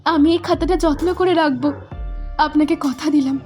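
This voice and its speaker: An actress voicing a sincere young village daughter who loves cooking, in a cartoon. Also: female voice, high voice, steady tone, normal speed, a little mellow, faint music behind, lightly acted. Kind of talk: cartoon voice acting.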